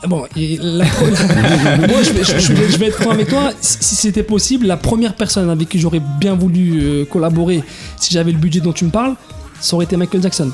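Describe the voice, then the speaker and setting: A man's voice: high-pitched, brisk, over music. Hesitant young man in his twenties. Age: 20s. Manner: hesitant, many restarts and fillers. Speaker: DJ guest. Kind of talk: casual interview chat.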